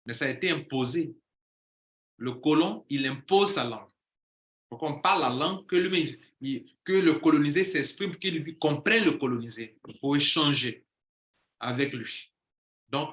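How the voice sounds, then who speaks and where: A man's voice: a little high, a bit bright, reverberant. A man speaking as a coach in an impassioned monologue, declaiming and repeating phrases for emphasis.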